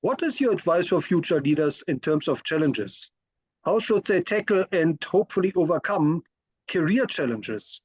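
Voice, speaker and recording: A man's voice: fairly high pitch, sing-song, normal speed, breathy edge, no echo. A man hosting an interview, asking questions in a polite, friendly way. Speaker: interview host.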